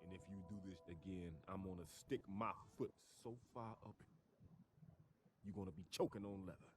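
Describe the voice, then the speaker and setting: An actor playing a brash, combative, slangy action hero in a video game cutscene. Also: male voice, sits low, moderate pace, background noise, lightly acted. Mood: cool threat. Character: brash, angry, street-talking male action hero.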